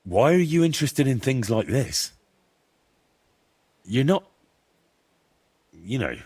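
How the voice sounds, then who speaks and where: An actor playing a plain-spoken, sceptical young medieval adventurer in a video game, with a down-to-earth, conversational manner. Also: male voice, mid-range voice, big pitch swings, takes it slowly, a little mellow, husky, full character voice. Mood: sceptical, wary. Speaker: protagonist of a game. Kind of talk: video game cutscene dialogue.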